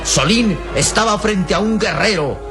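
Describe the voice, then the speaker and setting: A man's voice: high voice, lively intonation, measured pace. Theatrical male radio serial narrator. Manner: theatrical, suspenseful. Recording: old radio serial with music underneath.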